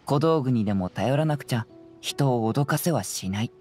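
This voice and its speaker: Calm, clever young detective boy. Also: very deep voice, moderate pace, faint music behind. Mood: confident, reasoning. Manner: easygoing, confident deduction. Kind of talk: Japanese anime game voice acting.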